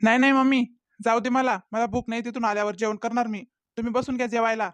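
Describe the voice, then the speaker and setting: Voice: mid-range voice, flat delivery, fast, fairly bright tone, dry recording, close to an everyday voice. A sly young village man from a cartoon comedy who talks his way out of things, voiced by a performer. His voice sits in the middle range, and the recording is dry and close. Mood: evasive. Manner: evasive, smooth-talking.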